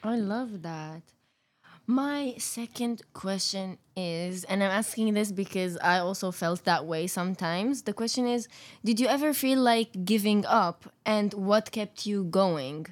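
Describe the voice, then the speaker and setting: Upbeat young woman leading a chat. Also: medium pitch. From Romania and Jordan. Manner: upbeat, agreeing, quick to laugh. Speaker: interviewer. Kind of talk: casual group chat.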